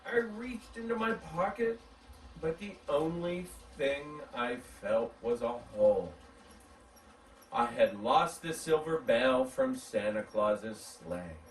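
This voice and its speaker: A man reading a children's story aloud in an animated, dramatic, drawn-out way. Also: mid-range voice. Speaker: story reader. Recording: roomy, distant mic, background noise.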